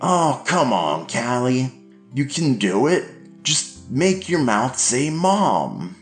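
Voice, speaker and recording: A man voicing an angry, abusive middle-aged father who coaxes and then shouts. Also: medium pitch, sing-song, takes it slowly, pitched above the performer's own voice. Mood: coaxing, pushy. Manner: shouting, coaxing then cruel. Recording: echoey room, faint music.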